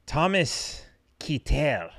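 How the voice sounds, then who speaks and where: A man's voice: fairly high pitch, sing-song, very slow, creaky, a bit bright, dry recording. A man hosting a casual political podcast, teasing his guest with mock sarcasm. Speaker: podcast host. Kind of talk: casual joking chat.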